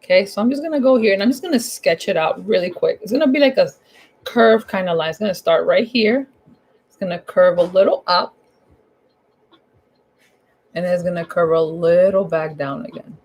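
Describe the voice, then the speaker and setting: A woman with a husky, hoarse voice on the lower side talks through a painting lesson step by step. Her delivery is casual and chatty, circling back over each instruction in everyday words. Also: normal speed, pauses often, darkish tone. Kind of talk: casual step-by-step art tutorial.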